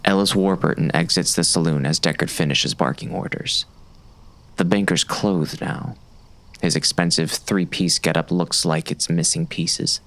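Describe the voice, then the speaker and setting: An actor playing a wry, brooding bounty hunter narrating a western audiobook in a gravelly voice. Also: male voice, low voice, steady tone, moderate pace, fairly bright tone. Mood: wry, watchful.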